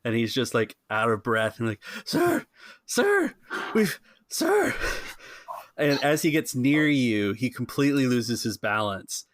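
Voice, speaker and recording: A man's voice: medium pitch, moderate pace, few pauses, no echo. A man acting as game master, narrating and explaining a tabletop game in an easygoing, casual way.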